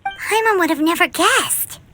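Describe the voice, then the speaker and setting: A woman voicing a tiny childlike fairy companion in a video game, excitable and easily indignant, with exclamatory delivery. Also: extremely high pitch, unhurried, heavily acted. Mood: surprised, curious. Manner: refers to self in third person, exclamatory. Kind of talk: animated video game voice acting. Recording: clear background music under voice.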